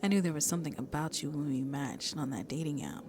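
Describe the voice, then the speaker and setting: A woman playing a shy, sweet vampire on a first date in an ASMR roleplay, soft-spoken and hesitant, often dropping to a whisper. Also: low-pitched, expressive, quick pace. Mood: shy, warm. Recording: crowd chatter in the background. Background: crowd chatter.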